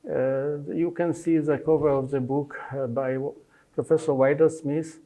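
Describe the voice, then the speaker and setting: A man in his fifties whose first language is Polish, presenting his book with many pauses, fillers and restarts in non-native phrasing. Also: a little high, fairly even intonation, dark tone, rough recording. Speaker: consultant pediatrician.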